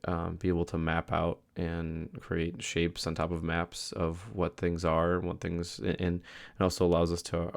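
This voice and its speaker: A man's voice: low voice, completely flat delivery, moderate pace, vocal fry, few pauses. A young man talking casually in a video diary, losing his voice from a cold and rambling with many fillers and restarts. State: losing his voice, a little sick. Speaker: vlogger.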